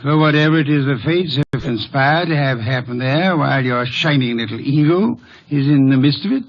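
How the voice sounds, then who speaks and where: An actor playing a portly, fussy, sardonic middle-aged master detective in an old American radio drama, wordy and grumbling. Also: male voice, medium pitch, moderate pace, close to an everyday voice. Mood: dry, sardonic. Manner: grumbling, wordy, dryly sarcastic. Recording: muffled vintage radio recording.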